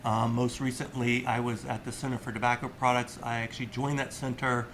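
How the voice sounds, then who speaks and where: A middle-aged man giving a webinar presentation as a company executive, pausing often with ums and clearing his throat. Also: mid-range voice, little pitch movement, fairly bright tone, slight background noise.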